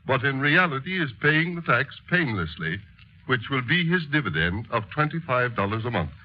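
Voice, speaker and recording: Man acting earnest schoolmaster politician, emphatic, didactic, medium pitch, 1930s radio drama, lo-fi. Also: sing-song, moderate pace, a little hiss or hum, close to an everyday voice. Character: earnest schoolmaster politician patiently explaining his scheme. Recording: muffled old 1930s radio recording.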